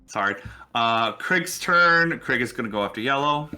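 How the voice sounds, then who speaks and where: Bright-voiced male game master. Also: mid-range voice, lively intonation, moderate pace. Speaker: game master and show host. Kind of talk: narrating a tabletop fantasy game.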